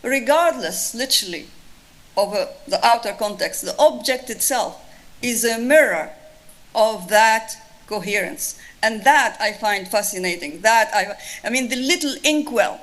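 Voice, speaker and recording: Tunisian-accented woman lecturer, fairly high voice, thoughtful and halting. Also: animated, fairly bright tone. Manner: thoughtful, restarts often, many fillers. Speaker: lecturer on Islamic art. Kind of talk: answering an audience question at a lecture.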